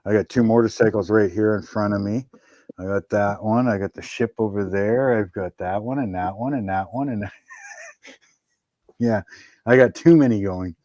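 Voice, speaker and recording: A man hosting a casual livestream from his workshop, talking in a slow, easygoing, rambling way about his projects. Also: on the low side, mellow, dry recording, muffled recording. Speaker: livestream host in a garage workshop.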